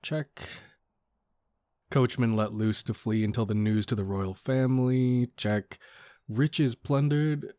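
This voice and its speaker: A man's voice: medium pitch, mellow, no room sound, clean studio sound, lightly acted. A man playing a cocky but considerate bandit leader in an audio roleplay, talking to the listener in a casual, folksy, wryly mock-courteous way. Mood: brisk, dry.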